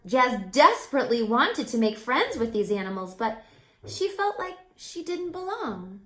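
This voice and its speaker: A woman reading a children's picture book aloud as a teacher, expressively, pausing to put questions to young listeners. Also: high voice, very animated, fairly bright tone, reverberant.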